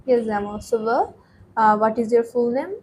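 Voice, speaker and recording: A woman's voice: a little high, normal speed, a little mellow, slight background noise. Formal woman examiner. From Bangladesh. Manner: formal, scripted questions with ums. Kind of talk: mock exam questioning.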